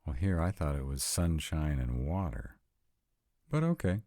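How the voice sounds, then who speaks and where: A very deep, dark-toned male vampire, acted by a man for a roleplay audio drama. He is sarcastic and grudgingly devoted, with lively swings in his low voice and a dry, reluctant delivery. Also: unhurried, dry recording, exaggerated voice. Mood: incredulous. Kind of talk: scripted roleplay audio drama, one side of a conversation.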